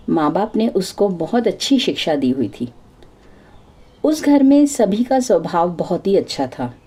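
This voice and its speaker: A woman narrates a traditional tale as a storyteller. Her voice is mellow and soft-edged, at an ordinary middle pitch for a woman. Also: slight background noise. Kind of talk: scripted folk-tale narration.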